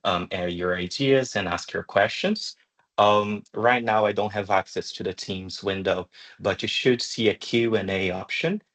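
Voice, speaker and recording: A man presenting a live online lecture in a measured, explanatory way, with hesitant pauses. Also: on the low side, a bit bright. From Brazil. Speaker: webinar presenter, journal deputy editor. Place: online video call.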